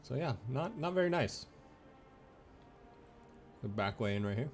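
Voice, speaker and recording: A man's voice: medium pitch, animated, unhurried, soft background music. A man streaming a video game with casual, playful and sometimes sweary commentary.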